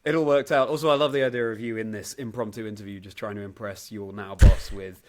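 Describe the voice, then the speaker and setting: A man's voice: fairly low pitch, steady tone, quick pace, a little mellow. A man hosting a casual interview, speaking in an encouraging, affirming way. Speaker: podcast host.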